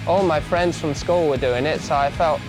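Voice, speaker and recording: A man's voice: fairly high pitch, moderate pace, low-quality recording. A man who rides bikes, talking casually as he gives an interview. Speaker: BMX rider being interviewed. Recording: music behind the voice.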